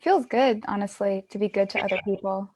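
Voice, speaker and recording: A woman's voice: medium pitch, brisk, darkish tone, husky. A woman in her twenties guiding an online creative workshop for young people in an encouraging, reflective way. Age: 20s. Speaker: workshop facilitator.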